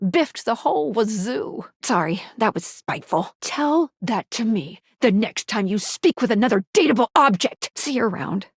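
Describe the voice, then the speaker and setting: A perky video game guide, a living pair of magic glasses with a high, girlish pitch. The delivery is quirky and earnest, swinging widely in melody, and recorded close and dry. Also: moderate pace, few pauses, husky, no room sound. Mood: spiteful, hurt. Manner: quirky, expressive, chatty, with cute interjections.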